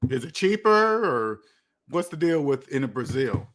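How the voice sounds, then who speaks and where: A man's voice: medium pitch, sing-song, unhurried, a bit bright. An American man hosting a casual livestream, chatting with viewers in a rambling way and repeating phrases for emphasis. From United States. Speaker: livestream host. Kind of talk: casual livestream chat, answering viewer comments.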